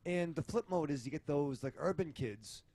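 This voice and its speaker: A man's voice: on the high side, animated, moderate pace, warm tone, no room sound. A man co-hosting a casual panel podcast, talking about a film in a rambling, crude and profane way. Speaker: podcast co-host reviewing a film.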